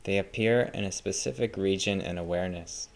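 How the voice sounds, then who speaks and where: A man leading a guided meditation as a scripted instruction, speaking in a calm, measured and gently instructive way. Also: sits low, vocal fry.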